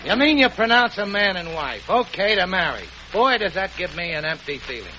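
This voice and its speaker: An actor playing a tough, impatient gangster in a 1940s radio comedy, blunt and clipped. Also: male voice, sits high, big pitch swings, brisk, bright, forceful tone, heavily acted. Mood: gruff. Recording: dull 1940s radio broadcast with hiss.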